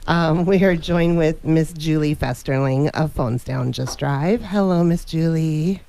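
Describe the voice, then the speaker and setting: A woman's voice: sits low, a little slow, vocal fry, warm tone, slight background noise. A woman hosting a weekly radio talk show, chatty and upbeat as she welcomes guests and thanks sponsors. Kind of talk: casual radio interview. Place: radio studio.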